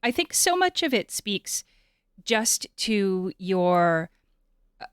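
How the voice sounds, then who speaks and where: A woman hosts a casual interview in a clear, smooth voice. She is friendly, effusive and informal, and the recording is dry with no room sound. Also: medium pitch, sing-song, slow, no echo. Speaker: podcast interviewer.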